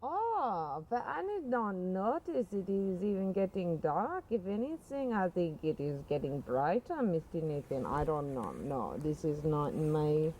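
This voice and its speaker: Woman doing a rambling Russian carer, swooping pitch. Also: sing-song, unhurried, few pauses, warm tone, poor audio. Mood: evasive, deferential. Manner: rambling, emphatic, formal address. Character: Russian woman carer, deferential yet stubborn and indignant. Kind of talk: improvised comedy role-play.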